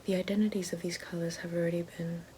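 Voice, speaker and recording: A woman playing a haughty, fallen evil queen and potion-maker in a breathy, mostly whispered ASMR roleplay. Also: lowish voice, little pitch movement, warm tone, a little hiss or hum, natural voice. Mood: calm, ominous. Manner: slow, deliberate, haughty, theatrical. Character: fallen evil queen, sly potion-making sorceress down on her luck.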